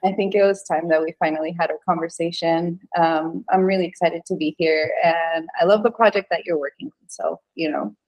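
A woman's voice: lowish voice, brisk, few pauses, poor audio. A woman from Venezuela who grew up in Utah, chatting earnestly as a podcast guest, with lots of fillers and easy laughs. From Venezuela, raised in Utah. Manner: warm, earnest, laughs easily, many fillers. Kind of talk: casual interview chat.

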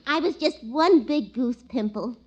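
A woman's voice: sits high, animated, a little slow. An actress playing a dizzy, man-crazy, vain young woman in a 1940s radio comedy. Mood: boastful. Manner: gushing, dim-witted, easily affronted. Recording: noisy, crackly vintage radio recording.

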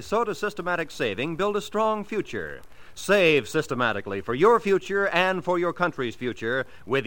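A man's voice: a little high, sharp tone. A man with an American accent announcing in formal, emphatic, scripted 1950s radio style. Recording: old radio broadcast with music.

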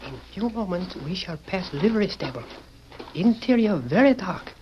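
A polite little Chinese man in a radio Western, high in pitch. He has a stage Chinese accent and speaks formal, clipped broken English full of proverbs. The recording is dull and faintly noisy. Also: very animated, moderate pace. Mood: calm, polite.

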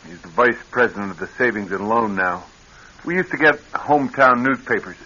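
A male actor playing a weary, guarded American ex-convict in a radio drama, plain-spoken and slangy with a husky voice. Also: medium pitch, animated, a little fast, pushed and bright. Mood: bitter, insistent. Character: weary, guarded ex-convict, casual working-class man. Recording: dull vintage radio recording.

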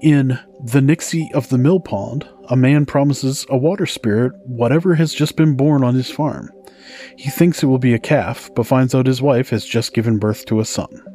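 A man's voice: mid-range voice, normal speed, dark tone. A man hosting a podcast, narrating folktales from a script in a storytelling style. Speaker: podcast host. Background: music.

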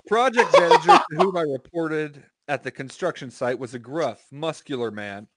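A man's voice: a little high, lively intonation, moderate pace, background noise. A man reading aloud as a podcast co-host, informal and profane, breaking into casual banter.

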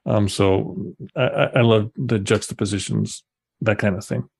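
A man's voice: fairly low pitch, fairly even intonation, normal speed, vocal fry, husky. A man from the United States, a musician guest on a podcast, talking casually with many fillers.

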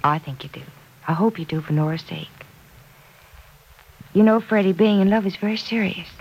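A woman playing a caring, protective guardian, gentle and earnest with a teasing side, in 1940s radio serial acting. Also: medium pitch, normal speed, breathy edge. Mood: earnest, concerned. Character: Penny Gibbs, caring, protective woman guardian wary of young love. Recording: dull old radio recording.

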